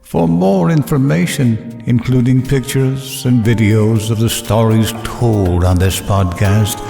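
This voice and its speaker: A man's voice: mid-range voice, slow, vocal fry. A man reading a scripted podcast outro as the show's announcer. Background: loud music.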